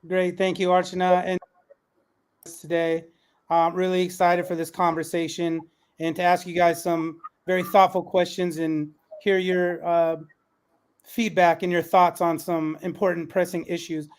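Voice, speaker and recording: Male podcast moderator, high pitch with little variation, encouraging and conversational. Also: level tone, measured pace, clean tone. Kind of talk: moderating a panel discussion.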